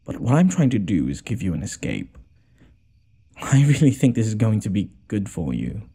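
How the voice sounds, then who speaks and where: Casting: male actor for a smitten, apologetic noble lord in a boyfriend roleplay; husky, lively intonation, halting speech with sighs. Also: fairly low pitch, exaggerated voice. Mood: apologetic, weary. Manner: hesitant, stammering, sighing. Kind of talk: intimate boyfriend audio roleplay.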